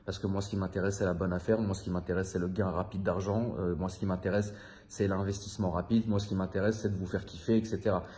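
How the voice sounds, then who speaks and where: French guy in his 30s ranting fast to his viewers, loose and slangy, lots of repeats. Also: sits low, level tone, dark tone, a little hiss or hum. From France. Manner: rambling, loose and confiding, many fillers and repeats; slangy, swears casually. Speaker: vlogger. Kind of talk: casual monologue to camera.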